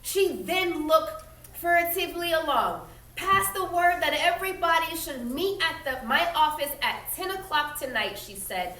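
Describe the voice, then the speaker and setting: A woman with a high voice reads aloud like a teacher to young children, stressing key words and stepping out to explain or question. She is instructive and expressive. The sound is roomy and slightly distant, with faint noise behind her. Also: measured pace. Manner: emphatic, instructive, checks understanding with questions. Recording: echoey room, distant mic, faint noise.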